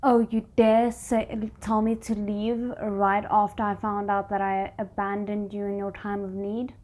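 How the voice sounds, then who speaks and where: A woman's voice: mid-range voice, level tone, unhurried, a little mellow, a little hiss or hum. A woman hosting a reaction video, thinking aloud in casual commentary and often addressing the characters directly.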